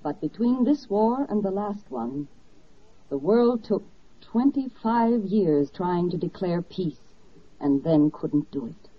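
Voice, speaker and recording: Husky, matter-of-fact woman in old radio. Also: mid-range voice, expressive, takes it slowly, exaggerated voice. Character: matter-of-fact woman reciting the government record. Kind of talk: 1940s radio acting. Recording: dull old 1940s radio recording.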